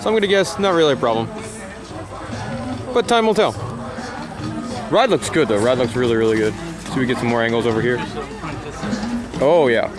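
A man's voice: mid-range voice, brisk, pauses often, music in the background, a crowd in the background. A man hosting a theme park show, talking enthusiastically on location outdoors. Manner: enthusiastic, upbeat. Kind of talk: casual on-location chat.

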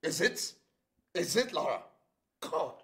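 An actor playing a frustrated, brooding ex-convict, wounded and defensive, in a film drama. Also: male voice, medium pitch, wildly swooping pitch, normal speed, fairly bright tone. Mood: anguished, pleading.

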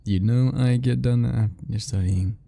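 A man's voice: medium pitch, a little slow, gravelly, dark tone. A young man doing an ASMR roleplay as a teasing, easygoing roommate talking to the listener, laughing often.

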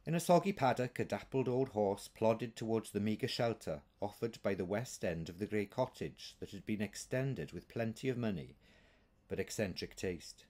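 A man reading a short story aloud as an author, in an expressive, scripted narrating style. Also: fairly low pitch, normal speed.

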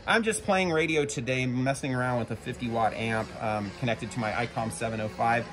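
An American man chatting casually as a ham radio operator and video host, halting and informal. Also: mid-range voice. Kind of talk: casual ham radio chat and vlog narration. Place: covered backyard patio, outdoors. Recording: faint outdoor background noise.

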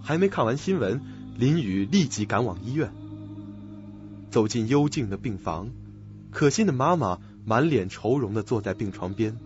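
A man's voice: medium pitch, expressive, moderate pace, a little mellow. A man narrating a scripted audio drama on a lo-fi recording. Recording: dull lo-fi recording, faint music behind.

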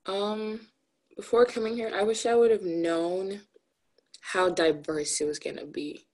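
A teenage girl from Ghana talking earnestly on a video call from a small room, with frequent restarts. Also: mid-range voice. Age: teens. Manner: earnest, animated, quick restarts. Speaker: guest. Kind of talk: casual video call chat. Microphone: phone at arm's length on video call.

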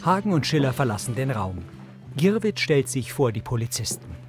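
A man's voice: medium pitch, very animated, normal speed. A man reading scripted audio description of scenes in the present tense as a narrator. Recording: music bed under the voice. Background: background music.

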